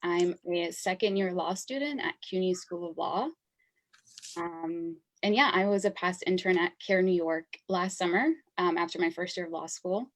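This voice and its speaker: A woman's voice: lowish voice, moderate pace. A woman in her twenties introducing herself in a friendly way as a guest on a video call. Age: 20s. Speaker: guest, law student and former intern.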